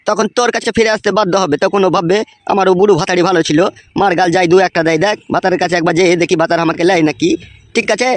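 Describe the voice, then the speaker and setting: A man acts out a nosy, cheeky village man from a comedy cartoon, with a rural West Bengal accent. He talks extremely fast in a high voice, crude and pushy as he hands out advice. The sound is close and dry. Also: dry recording, lightly acted. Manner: crude, swearing, teasing and insistent. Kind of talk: comic cartoon dialogue.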